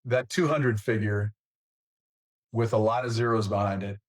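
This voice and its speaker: A man's voice: on the low side, steady tone, moderate pace. An American man hosting an interview in a conversational, plainspoken and slightly folksy way. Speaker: interview host.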